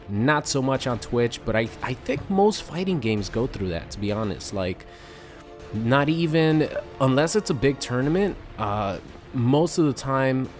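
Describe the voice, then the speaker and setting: A young man chatting casually to his viewers about a fighting game, rambling with fillers, his voice slightly raspy from a sore throat. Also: medium pitch. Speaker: gaming YouTuber. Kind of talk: casual chat to camera.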